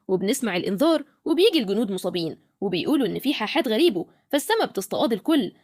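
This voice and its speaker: A woman's voice: on the high side, a little mellow, no room sound, clean studio sound. A woman with an Egyptian Arabic accent narrating a scripted recap of a film.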